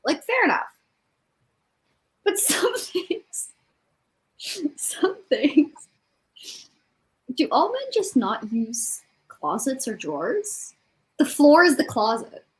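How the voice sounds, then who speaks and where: Higher-pitched, lively woman chatting casually. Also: slow, long pauses, rough recording. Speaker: participant in a group reading livestream. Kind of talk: casual chat.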